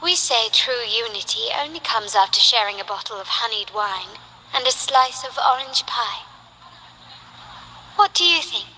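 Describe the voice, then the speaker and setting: A woman playing a poised, ambitious young noblewoman in a video game, speaking in an elegant, formal, measured way. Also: a little high, expressive, pushed and bright, slight background noise, rough recording. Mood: gently inviting. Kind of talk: scripted video game character voice lines.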